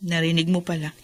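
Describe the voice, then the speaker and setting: An actress playing a resolute, long-suffering young widow in an earnest radio drama style. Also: female voice, sits low. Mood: casual, evasive. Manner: earnest, dramatic, pleading yet firm. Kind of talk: Tagalog radio drama acting.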